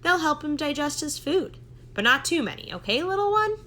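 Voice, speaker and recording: Woman voicing a kindly goose, storybook, laughing, bright. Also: high voice, sing-song, normal speed, pitched above the performer's own voice. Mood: warm teasing.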